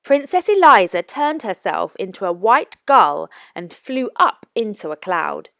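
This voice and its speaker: A woman reading aloud as a children's storytime narrator, with expressive, careful and deliberate phrasing. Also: medium pitch, vocal fry, no echo, clean studio sound. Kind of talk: scripted storybook read-aloud for young children.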